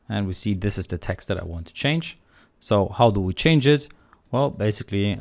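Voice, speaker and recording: A man teaching programming in a screencast tutorial, calmly explaining the code step by step in a relaxed, conversational way. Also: on the low side. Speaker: programming instructor.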